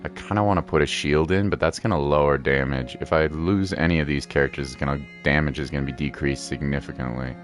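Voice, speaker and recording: A male game streamer with an extremely low voice, chatting casually as he plays. He is playful and repeats himself, with faint music in the background. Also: fairly even intonation, quick pace, few pauses. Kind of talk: casual gameplay commentary.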